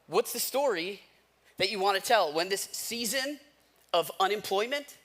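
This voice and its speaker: An American man delivers a sermon in a high, bright voice with a forceful edge. He speaks conversationally as a pastor would, leaning on repeated phrases and rhetorical questions for emphasis. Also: expressive, normal speed.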